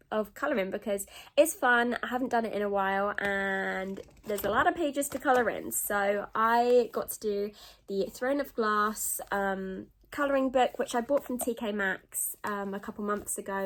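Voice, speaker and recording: A British woman chatting casually in a rambling book vlog, groggy and sniffly with a cold. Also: medium pitch. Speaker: book vlogger.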